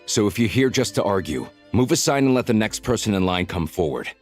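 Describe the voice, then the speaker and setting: A man's voice: lowish voice, very animated, a little fast, a little mellow, soft background music. A man voicing a curt, unbending, by-the-book supply officer in a video game. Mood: stern, officious.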